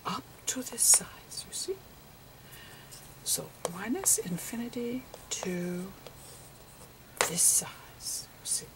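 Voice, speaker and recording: Woman putting on a whispering, slow old physicist. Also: mid-range voice, sing-song, far from the mic, poor audio. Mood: patient, musing. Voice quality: breathy whisper. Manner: halting, repetitive, patiently explaining. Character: elderly male eccentric physicist channelling Einstein, gentle lecturer. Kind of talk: ASMR roleplay lecture. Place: indoors at a slate chalkboard.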